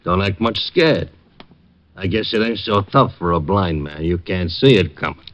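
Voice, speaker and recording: An actor playing a surly, menacing street thug in 1940s radio style, curt and slangy. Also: male voice, sits low, moderate pace, fairly bright tone. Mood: sneering. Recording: muffled, noisy vintage radio recording.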